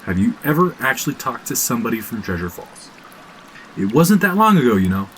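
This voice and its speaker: Anxious, sarcastic young man for horror audio drama. Mid-pitched, very animated, agitated. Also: brisk, a little hiss or hum, poor audio. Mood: sarcastic, heated. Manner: agitated, sardonic, slangy.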